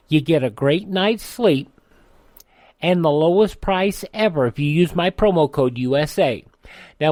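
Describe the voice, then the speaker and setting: A man giving a conversational radio sales pitch, folksy and emphatic. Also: darkish tone, dry recording.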